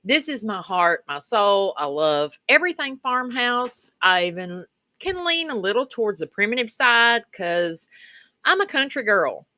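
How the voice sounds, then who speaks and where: A woman's voice: mid-range voice, very animated, a little slow, sharp tone, no room sound, clean studio sound. A woman with a rural Kentucky Southern accent narrating a casual craft tutorial as the channel's host, in a folksy, chatty way. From Kentucky, rural United States.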